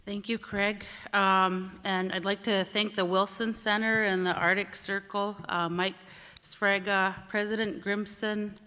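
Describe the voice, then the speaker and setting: A woman from St. Lawrence Island, Alaska, a native Siberian Yupik speaker, giving a measured conference speech as a panelist, with frequent short pauses. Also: medium pitch, little pitch movement, pushed and bright, smooth. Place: large indoor conference hall. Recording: echoey hall sound.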